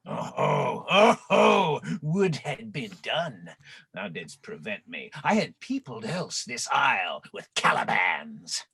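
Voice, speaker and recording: A savage enslaved monster rasps through Shakespearean verse in a radio play. The voice is gravelly and forceful, swinging widely in pitch with a bitter, cursing edge. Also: male voice, huge pitch swings, takes it slowly, full character voice. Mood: gloating. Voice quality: raspy, gravelly. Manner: cursing, aggrieved, theatrical.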